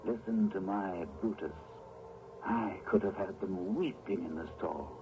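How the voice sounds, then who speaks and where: An actor playing a theatrical, fanatical conspirator who declaims grandly like a stage tragedian in an old-time radio drama. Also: male voice, deep voice, a little slow. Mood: smooth, self-satisfied. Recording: dull old radio recording with clear noise.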